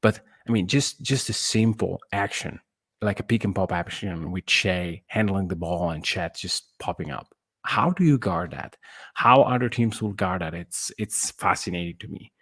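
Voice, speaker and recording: A man with a low voice talks through basketball as a podcast co-host. He searches for his phrasing in slightly non-native English, and the sound is close and dry. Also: moderate pace, a bit bright, no echo. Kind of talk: casual basketball analysis.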